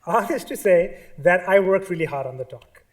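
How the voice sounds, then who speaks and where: A man's voice: high voice, animated, moderate pace. A man with a Pakistani accent giving a live artist talk at a museum, nervous and full of ums, restarts and asides. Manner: nervous, many fillers and restarts. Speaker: artist speaker.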